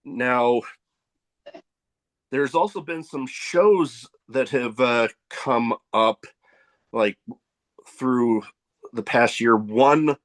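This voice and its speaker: A male podcast host speaks slowly at an ordinary pitch. He is agreeable and hesitant, pausing often and filling gaps as he builds his questions. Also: rough recording. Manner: hesitant, agreeable, many pauses and fillers. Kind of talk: podcast interview.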